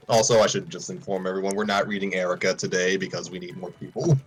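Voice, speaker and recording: A man's voice: sits low, fairly even intonation, fast talker, husky, soft background music. A man hosting a casual livestream, rambling and correcting himself with chuckling asides. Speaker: host.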